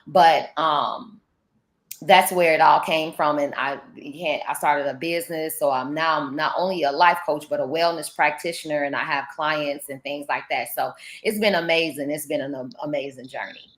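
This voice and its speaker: Low-voiced woman in her forties, echoey room. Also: moderate pace. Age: 40s. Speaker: life coach and teacher. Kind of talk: casual video call chat.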